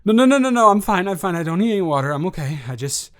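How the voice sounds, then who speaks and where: A man's voice: high-pitched, very animated, quick pace, pitched above the performer's own voice. A man playing a nervous, self-deprecating young male roommate in an improvised audio roleplay, stammering and repeating himself as he rambles. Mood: breathless, flustered.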